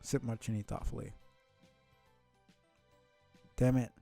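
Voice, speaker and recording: A man streaming a casual read-aloud of a comic, with halting, muttered asides. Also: mid-range voice, steady tone, dark tone, soft background music. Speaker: streamer reading a webcomic aloud.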